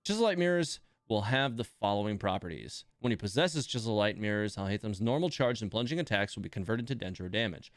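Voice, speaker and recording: A man's voice: on the low side, lively intonation, a little fast, hardly any pauses, no room sound, clean studio sound. A man hosting a casual gaming livestream, thinking aloud as he reads and comments on game details. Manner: thinking aloud, reading game text with asides. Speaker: livestream host.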